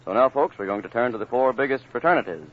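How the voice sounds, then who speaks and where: A man's voice: medium pitch, animated, fast. A man with an American accent announcing a live 1940s big band radio broadcast. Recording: dull old radio broadcast with music. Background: big band music.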